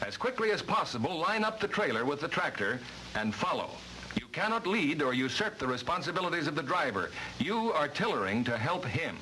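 A man's voice: a little high, very animated, a little fast, bright, forceful tone, slight background noise, rough recording. A man reading formal, precise instructional narration for a vintage training film, on a worn old soundtrack.

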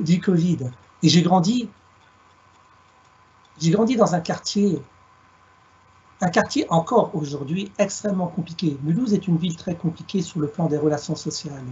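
Husky-voiced man on a video call. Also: sits high, a little mellow, muffled recording. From France, Alsace. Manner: measured, reflective, plays on words. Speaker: guest speaker, storyteller. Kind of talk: online talk, storytelling. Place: home room on a video call.

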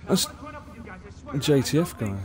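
A man's voice: mid-range voice, very animated, a little slow, darkish tone. A man chatting casually as he streams a video game. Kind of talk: casual gameplay stream chat. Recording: noisy mic with game sound behind.

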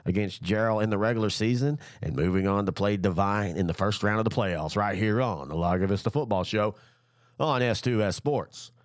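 A middle-aged man with a congested head cold, hosting a high school football show in a rambling, enthusiastic way. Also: moderate pace, dry recording, clean studio sound. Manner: rambling, self-correcting, enthusiastic. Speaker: sports show host. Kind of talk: casual sports talk. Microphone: studio mic.

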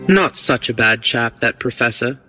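A man reading the part of a cool, bold, quick-witted English gentleman rogue in an audiobook, breezy and commanding. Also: mid-range voice, animated, soft background music, poor audio. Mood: breezy, amused.

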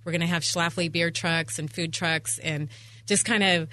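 A woman's voice: on the low side, steady tone, moderate pace, pushed and bright. A middle-aged woman chatting at length as a guest in a radio interview, talkative and full of anecdotes, on a studio microphone. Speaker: interview guest, event venue owner. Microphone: studio microphone on boom arm.

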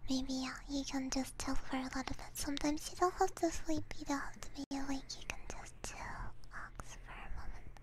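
A young woman whispering gently and soothingly into a close binaural microphone, chatting casually with viewers as an ASMR streamer. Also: high voice, fairly even intonation, a little fast. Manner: soothing, gentle personal attention. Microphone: close binaural ear microphone.

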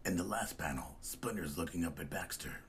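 A man's voice: low-pitched, animated, brisk, slight background noise. A man reading scripted narration that describes comic panels.

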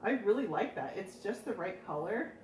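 A woman's voice: medium pitch, lively intonation, normal speed, background noise. Chatty woman in an echoey room. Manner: chatty, laughs often, jokes with viewers. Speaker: furniture painting host. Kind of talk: casual live demo chat. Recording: echoey room, mic at a distance.

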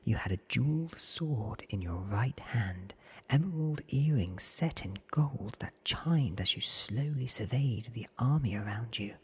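A man voicing an earnest, awed young man from a storybook in a hushed, breathy ASMR whisper. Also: medium pitch, animated, full character voice. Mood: awed, eerie. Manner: hushed, drawn-out, earnest telling.

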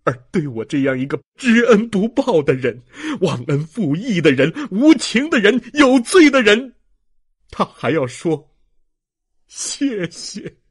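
Impassioned young gentleman for an audiobook, acted by a male narrator: slow, emphatic, swooping delivery. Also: sits high, big pitch swings, breathy edge, voice pushed up, exaggerated voice. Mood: impassioned, remorseful. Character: passionate, grateful young gentleman, overwhelmed with emotion.

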